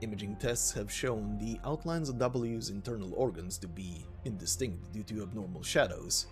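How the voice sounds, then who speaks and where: A man's voice: on the low side, normal speed. A man narrating a gaming video in an informal way, moving between casual commentary and reading lore text aloud. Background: background music.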